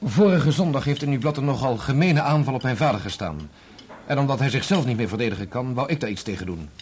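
An actor playing an indignant young conductor in a radio play, speaking in an insistent, combative, clipped way. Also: male voice, medium pitch, lively intonation, fast talker, darkish tone, a little hiss or hum, close to an everyday voice. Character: indignant young conductor defending his dead father's name. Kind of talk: Dutch radio play acting.

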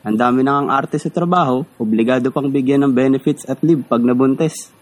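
A stern male factory supervisor in a radio drama, played by an actor, speaking. He is blunt and scolding, grudging with any favor and quick to dismiss his workers, in a voice of middling pitch. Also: lightly acted. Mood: dismissive.

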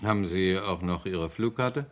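An actor playing a measured, probing police inspector in a German radio crime play, formal and polite. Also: male voice, low voice, close to an everyday voice. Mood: politely probing.

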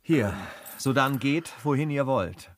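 An actor playing a noble, dignified travelling gentleman in a classic radio play, speaking in a measured, formal, earnest way. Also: medium pitch, expressive, a little hiss or hum. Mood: stern but generous. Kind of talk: German radio drama acting of a classic stage comedy.

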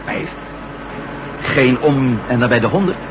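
An actor playing a dogged, inquisitive ex-astronaut reporter in a Dutch radio drama. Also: male voice, medium pitch, expressive, a little slow, muffled recording, close to an everyday voice. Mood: casually curious. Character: nosy, dogged male ex-astronaut reporter working undercover. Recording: noisy old radio recording.

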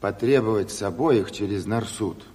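A man's voice: fairly low pitch, normal speed, darkish tone, close to an everyday voice. An actor playing a stern, blunt committee chairman, giving curt orders in a Soviet audio drama. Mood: commanding. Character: stern gruff male revolutionary committee chairman, plain-spoken. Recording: reverberant studio hall.

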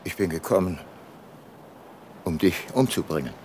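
An actor playing a brooding, resolute older detective in a radio drama, speaking gravely and deliberately. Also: male voice, low-pitched, expressive, darkish tone, slight background noise. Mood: grimly resolute. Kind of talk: radio drama dialogue and first-person narration.

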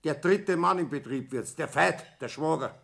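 An actor playing an indignant, coarse employee in a radio play, blustering in a gravelly voice with a Bavarian accent. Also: male voice, fairly high pitch, normal speed, fairly bright tone. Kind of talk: 1960s German radio play acting.

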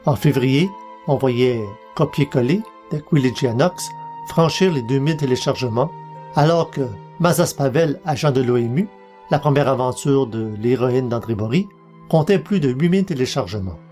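A man's voice: medium pitch, expressive, normal speed, dark tone, faint music behind. A man, hosting a podcast and reading scripted narration. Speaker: podcast host and publisher.

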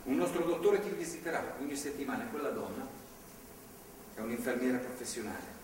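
An actor on stage playing a fanatical, paternal priest and captor, preachy and deliberately persuasive. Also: male voice, mid-range voice, expressive, recorded from a distance, natural voice. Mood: measured, paternal. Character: fanatical paternal priest, calm, insistent, sanctimonious male captor. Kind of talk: live stage theatre acting. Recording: echoey theatre stage from afar, hiss.

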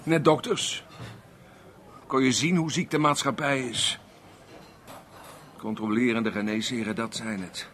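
A man acting a bitter, cynical working-class carpenter in a radio play, gruff and sardonic. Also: medium pitch, a bit bright, natural voice. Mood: bitter musing.

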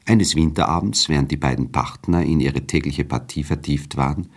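A very deep-voiced man narrates a radio play as a refined, observant storyteller. His delivery is composed and measured, with articulate literary phrasing. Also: husky, full character voice. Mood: composed, narrating. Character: refined male first-person narrator of a novella, observant and composed.

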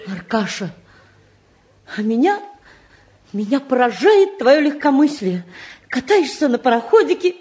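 Fretful mother, high mellow voice. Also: big pitch swings, measured pace, pauses often. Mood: reproachful. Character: anxious, reproachful middle-aged mother of grown sons. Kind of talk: 1950s Soviet radio play acting.

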